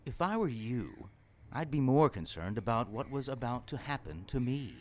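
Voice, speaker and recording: A man's voice: medium pitch, sing-song, moderate pace, darkish tone. A man playing a pompous, menacing demon villain in an audio drama, gloating in a theatrical way. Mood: smug menace. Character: gloating male demon villain posing as human lover.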